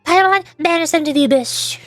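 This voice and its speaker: A woman's voice: very high voice, a little fast, a bit bright, dry recording, soft background music. A woman streamer giving casual, playful, reactive commentary on a game.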